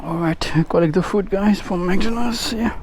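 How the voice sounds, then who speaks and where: A man's voice: high voice, normal speed, darkish tone, slight background noise. A young adult man whose first language is Nepali, a food delivery rider vlogging casually on his motorbike, chatty and peppering his talk with "guys" and "yeah?". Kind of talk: casual vlog chat while riding. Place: outdoors on city streets, on a motorbike.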